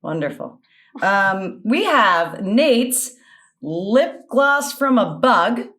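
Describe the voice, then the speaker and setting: A middle-aged woman chatting playfully on a panel game, deliberate and mock-serious, on a video call. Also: medium pitch, big pitch swings, fairly bright tone. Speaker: panelist and scorekeeper.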